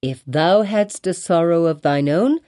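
A woman's voice: lowish voice, unhurried, warm tone, close to an everyday voice. A woman voicing a grave, weary mother who speaks earnestly and firmly to her child in old-fashioned phrasing. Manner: earnest, commanding, archaic phrasing. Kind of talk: dramatized audiobook reading in archaic English.

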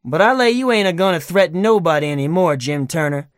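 A man's voice: on the high side, fast, dry recording, clean studio sound, pitched above the performer's own voice, natural voice. A man voicing a gloating river thief who mocks a captive in a raised, sneering voice for a storybook reading. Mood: mocking gloat. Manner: mocking, gloating. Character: gloating, cool-headed river thief with a lantern.

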